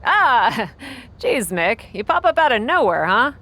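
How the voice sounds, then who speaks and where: A woman's voice: a little high, very animated, slow, pushed and bright, heavily acted. A woman playing a cocky, sarcastic young pro wrestler in a video game cutscene. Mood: wry, casual.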